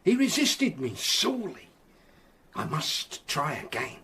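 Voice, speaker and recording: An actor playing a stern, grey-haired Bible preacher in a period radio drama, solemn, righteous and fervent. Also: male voice, fairly high pitch, huge pitch swings, slow, sharp tone, slight background noise, heavily acted.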